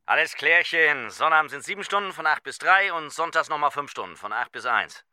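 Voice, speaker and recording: An actor playing a jovial, breezy dance band leader making salesmanlike small talk in a radio drama. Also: male voice, a little high, expressive, brisk, pushed and bright, clean tone. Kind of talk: radio drama phone dialogue.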